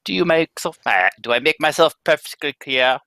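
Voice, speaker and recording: A man's voice: a little high, lively intonation, normal speed, pushed and bright, no room sound, studio quality. A man reading the part of a stern, pompous bank president in a live radio-play reading, lecturing emphatically. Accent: American. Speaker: live script reader.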